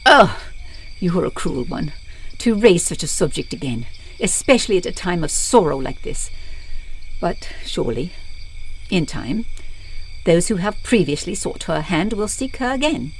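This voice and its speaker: A woman playing a proud, haughty mother in an old-style radio drama, sharp-tongued and quick to take offense. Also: fairly low pitch, big pitch swings, slight background noise. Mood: bitter. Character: proud middle-aged mother, haughty village lady.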